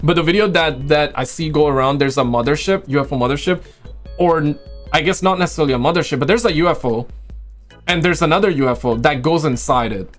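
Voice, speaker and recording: A man with a fairly high-pitched voice talks casually to his livestream viewers. He rambles in loose slang and often repeats himself, his voice a little worn as if it is going. Music plays faintly behind him. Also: fast. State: losing his voice. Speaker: livestream host and video creator.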